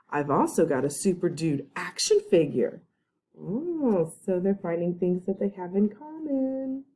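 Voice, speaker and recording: Female teacher, lower voice, warm and mellow, reads a kids' chapter book aloud with friendly asides. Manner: friendly, chatty asides to young listeners. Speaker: first grade teacher.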